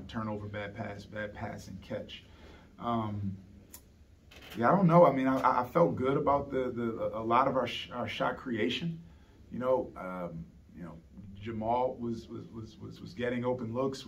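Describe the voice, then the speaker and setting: Middle-aged coach at a presser table, earnest and halting, faint room hum, mic set back. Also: male voice, lowish voice. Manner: earnest, with frequent repeated words and restarts. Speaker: head basketball coach. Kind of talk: postgame press conference answers. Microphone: table microphone on a stand. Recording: heard from some distance.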